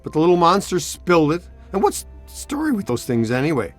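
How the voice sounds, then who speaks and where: Lively, sardonic wisecracking ghost hunter. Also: male voice, a little high, a little fast, soft background music. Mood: dry, joking. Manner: deadpan, sarcastic, offhand. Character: sardonic, flirty, wisecracking middle-aged male ghost hunter. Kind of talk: video game character acting.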